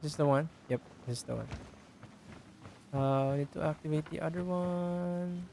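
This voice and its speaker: A man's voice: a little high, moderate pace, warm tone. A man giving casual gameplay commentary, muttering to himself as he plays, with game sound behind him. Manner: muttering to himself while playing. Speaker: gameplay streamer. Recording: clear background noise.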